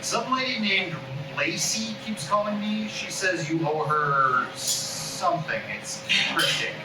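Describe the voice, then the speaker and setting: Comedy table read: man acting as weary older talent agent, dry and slightly halting, noisy distant recording. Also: high-pitched. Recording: echoey room, distant mic, noisy.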